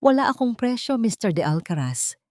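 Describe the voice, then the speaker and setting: A woman's voice: on the high side, sing-song, moderate pace, a little mellow, no room sound, voice pushed up. A woman acts out a distressed audiobook heroine, a wronged working woman with wounded dignity. She speaks earnestly with a Filipino accent. The sound is close and dry. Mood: firm defiance. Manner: pleading, earnest. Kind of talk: audiobook character acting.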